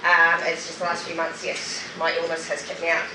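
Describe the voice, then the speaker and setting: A middle-aged Australian woman tells her own story as a speaker at a public event. She is emphatic and candid, with a dry wit. Her voice comes from a distance in an echoing space, with clear noise in the recording. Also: on the low side, completely flat delivery, brisk. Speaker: guest speaker, anti-poverty group member sharing her story. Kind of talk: personal testimony in a public speech. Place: roomy venue at a public event. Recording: roomy, noisy, distant event microphone.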